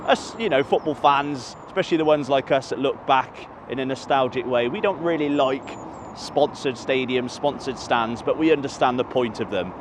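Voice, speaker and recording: A man talks to camera outside a football stadium, rambling along and fixing his words as he goes. His voice sits at an everyday pitch. Faint traffic hums behind him in the open air. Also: normal speed. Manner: chatty, rambling, self-correcting. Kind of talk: casual walking vlog to camera. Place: outdoors by a stadium near a motorway.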